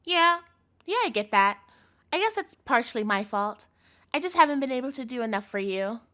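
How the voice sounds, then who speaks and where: A woman's voice: on the high side, very animated, normal speed, pushed and bright. A woman playing a doting, possessive yandere girlfriend in a scripted audio roleplay, coaxing and pleading in a cloying manner. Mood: soothing, controlling.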